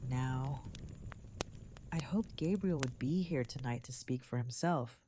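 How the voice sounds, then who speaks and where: A woman's voice: extremely low pitch, warm tone, slight background noise. Composed female leader acted by a woman, formal and authoritative, radio play with light background ambience. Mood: solemn, measured. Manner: formal, measured. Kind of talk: audio drama acting.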